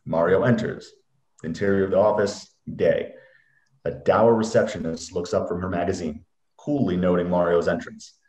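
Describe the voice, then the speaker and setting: Low male narrator, roomy echo. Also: fairly even intonation, moderate pace, darkish tone. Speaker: narrator of screenplay directions. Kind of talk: dramatic script reading of narration. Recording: roomy echoing computer mic.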